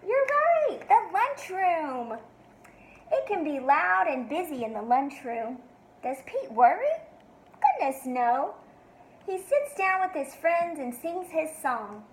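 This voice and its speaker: High-voiced woman reading aloud to children. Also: sing-song, slow pace, low-quality recording. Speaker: teacher reading a picture book aloud to children. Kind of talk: read-aloud for young children, asking questions.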